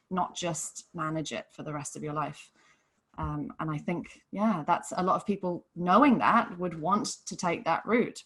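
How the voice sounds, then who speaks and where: Woman from Hong Kong, gentle earnest coach, lowish voice, live panel guest. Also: breathy edge. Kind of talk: live online panel talk.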